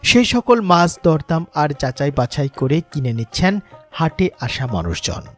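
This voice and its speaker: Higher-voiced Bangladeshi man narrating over music. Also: very animated, moderate pace. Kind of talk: scripted documentary narration, sentimental. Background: background music.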